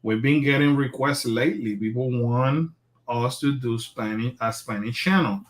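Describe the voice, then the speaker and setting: A man from Puerto Rico hosting a casual livestream, chatty and relaxed, with a lot of filler words. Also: mid-range voice, moderate pace. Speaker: host.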